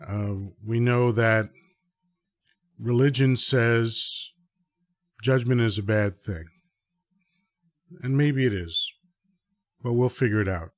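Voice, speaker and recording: A man's voice: medium pitch, slow pace, long pauses, no echo, studio quality. A man of fifty-nine from the Dominican Republic, a podcast host musing conversationally in a reflective solo talk, often checking in with "right?".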